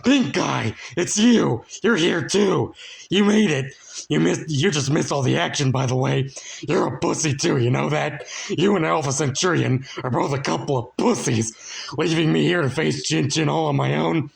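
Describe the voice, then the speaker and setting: A man puts on a brash, foul-mouthed ringleader from a comic audiobook. The voice sits raised and loud, full of exclamation and scolding. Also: fairly high pitch, moderate pace, vocal fry, pitched above the performer's own voice. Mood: excited, taunting.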